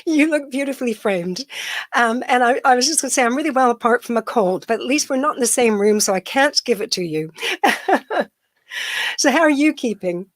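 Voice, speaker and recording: A woman's voice: fairly high pitch, lively intonation, normal speed, dry recording, studio quality. Woman radio host with a cold. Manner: chatty and warm, laughs often. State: has a heavy cold. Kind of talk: radio interview.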